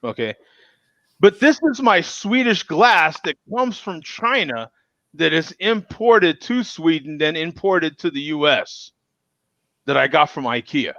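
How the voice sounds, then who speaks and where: Animated, folksy male show host. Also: fairly high pitch, unhurried, vocal fry, dry recording, muffled recording. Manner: folksy, animated, repeats himself. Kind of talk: casual chat.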